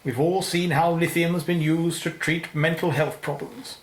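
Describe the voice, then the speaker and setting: A man's voice: fairly high pitch, steady tone, normal speed, slight background noise, close to an everyday voice. A man playing a slick corporate spokesman giving a press conference speech in a radio play. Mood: slick, upbeat. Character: slick corporate spokesman promoting medical research. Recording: roomy, echoing sound.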